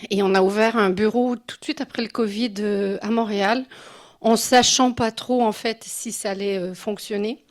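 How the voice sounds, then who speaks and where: A woman's voice: medium pitch, steady tone, normal speed. A mature woman from Quebec gives an informal conference talk as a guest speaker, in a personal and reflective way. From Quebec City, Canada. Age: 50s or older. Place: live talk before an audience.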